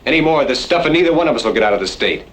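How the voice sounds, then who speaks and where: A man's voice: mid-range voice, lively intonation, very fast, fairly bright tone, natural voice. Man playing a stern, weary western outlaw. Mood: firm, fed up. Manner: blunt, serious, terse. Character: stern, weary rancher turned outlaw, fed up. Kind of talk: old-time radio western acting. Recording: noisy old radio drama recording.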